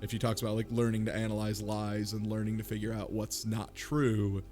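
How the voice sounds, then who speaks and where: A man's voice: on the low side, monotone, fairly quick, darkish tone, faint music behind. A man chatting casually and speculatively in a group, swearing freely and acting out imagined lines as he goes. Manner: sweary, acts out imagined quotes. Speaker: podcast panelist discussing a visual novel.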